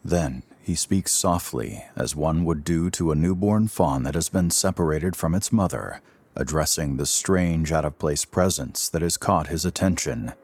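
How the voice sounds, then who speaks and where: Deep, husky male storyteller. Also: a little mellow. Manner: theatrical, deliberate storytelling. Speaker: narrator. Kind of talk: dramatic scripted narration.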